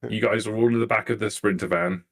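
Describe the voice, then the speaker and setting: Male game master, low register, improvised narration for live tabletop vampire role-play. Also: little pitch movement, quick pace, vocal fry, fairly bright tone.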